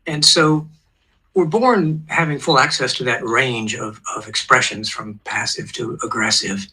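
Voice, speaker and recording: Man in his sixties, therapist guest, calm explainer, very bright tone, husky. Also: medium pitch, measured pace, low-quality recording. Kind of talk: explaining psychology on an online show.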